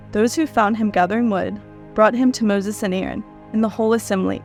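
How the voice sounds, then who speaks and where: An adult woman speaks in a mid-range voice. She is a guest reader, and music runs behind her words. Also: medium pitch.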